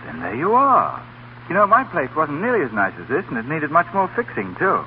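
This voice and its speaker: An actor playing a cheerful, cheeky young doctor in a 1930s radio drama, heard on a scratchy old broadcast. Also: male voice, fairly high pitch, wildly swooping pitch, brisk, narrow, tinny audio. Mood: breezy, cheerful.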